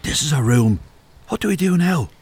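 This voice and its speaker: A man's voice: on the high side, expressive, moderate pace, a little mellow, breathy edge. An actor playing a bossy, scoffing, know-all Irish man in a comedy audio drama. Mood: reluctant. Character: bossy, dismissive Irish man sneaking about, secretly jittery.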